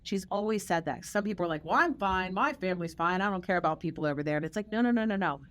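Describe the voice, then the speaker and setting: A woman's voice: fairly low pitch, animated, quick pace. A middle-aged woman hosting an interview, telling personal stories in a reflective way and repeating words for emphasis. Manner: reflective storytelling, warm emphatic repetition. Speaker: podcast host. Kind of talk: casual conversational interview.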